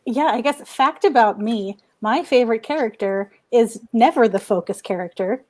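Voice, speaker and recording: Casting: female panelist, slightly high voice, conversational, halting, emphatic. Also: darkish tone. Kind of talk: casual fan discussion. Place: remote online panel recorded at home.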